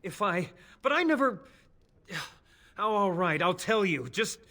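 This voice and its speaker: Lively, nervous, evasive male elf. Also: high voice, measured pace, heavily acted. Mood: frightened, pleading. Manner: defensive, pleading, stammering.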